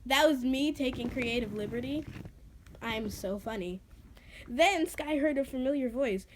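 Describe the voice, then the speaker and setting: A high-pitched woman reads an amateur fantasy story aloud with playful theatrical flair. She slips between dramatic narration and joking, self-mocking comments to her listeners. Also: measured pace, a little hiss or hum. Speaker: reader of own creative writing.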